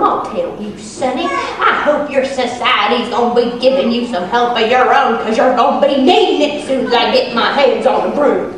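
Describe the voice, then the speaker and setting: A woman's voice: on the high side, close to an everyday voice. Young woman acting sassy Southern homemaker Story. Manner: folksy, sassy, self-bragging storyteller. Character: feisty chatty Southern woman, proud homemaker. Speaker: student performer. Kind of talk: comic stage monologue. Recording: echoey hall from far off, noisy.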